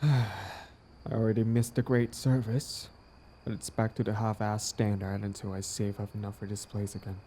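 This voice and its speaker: A man acting out a smug but smitten villain on a date, smooth-talking and casual, in an intimate audio roleplay. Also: on the low side, flat delivery, normal speed, dark tone, slight background noise, close to an everyday voice. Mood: tender, sincere. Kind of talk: intimate scripted boyfriend-style audio roleplay.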